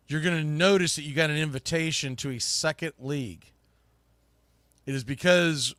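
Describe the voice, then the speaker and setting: A man's voice: a little high, pushed and bright, dry recording, clean studio sound. A middle-aged man hosting a sports talk show, chatting casually and informally in a rambling, mildly salty way. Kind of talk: casual, rambling live podcast chat.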